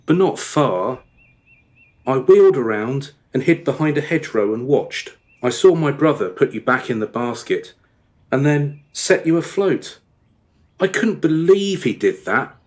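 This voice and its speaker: Evasive, uneasy uncle acted by a man, reluctant and halting, very unhurried delivery, radio drama. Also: medium pitch, big pitch swings. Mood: confessing. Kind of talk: radio play acting.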